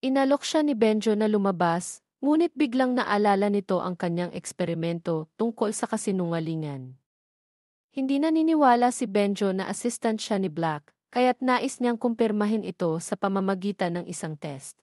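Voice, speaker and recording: A woman's voice: medium pitch, animated, normal speed, a little mellow, no room sound. Woman narrating a scripted story, dry recording.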